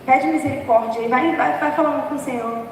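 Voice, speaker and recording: A young woman preaching an informal sermon, chatty and humorous, laughing at herself, with a hoarse voice. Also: high voice, brisk, a little hiss or hum. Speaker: preacher from the dance ministry. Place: large church hall. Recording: echoey church hall from far off.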